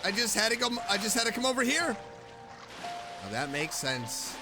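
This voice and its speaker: A woman's voice: mid-range voice, very animated, normal speed. A woman game streamer giving casual, playful, exclamatory commentary as she plays. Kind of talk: casual, reactive gameplay commentary. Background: game music.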